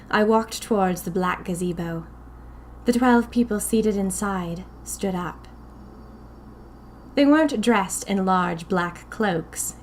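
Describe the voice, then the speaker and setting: A woman narrating a first-person story for a fiction podcast, deliberate and confiding, as if to a diary. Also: mid-range voice, a little mellow, slight background noise.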